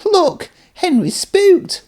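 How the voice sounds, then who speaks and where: A rude little storybook goods truck, very high and squeaky. It jeers in a mischievous, taunting sing-song. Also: male voice, sing-song, drawn-out words, darkish tone, exaggerated voice. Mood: mocking, gleeful.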